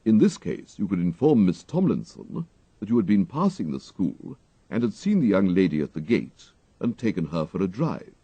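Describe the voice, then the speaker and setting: A man voicing a deferential, unflappable English valet in a storybook, speaking in formal, ornate, measured phrases. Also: low-pitched, very animated, dark tone, breathy edge, no echo, dull audio, exaggerated voice. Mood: suave. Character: dignified English gentleman's valet, deferential, unflappable, dryly clever.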